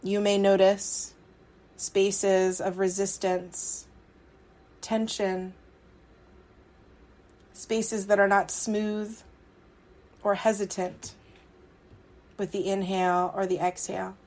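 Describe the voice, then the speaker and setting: Woman doing a yoga nidra style guided meditation, soothing, pretty flat tone, short phrases w long gaps. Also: medium pitch, takes it slowly, long pauses.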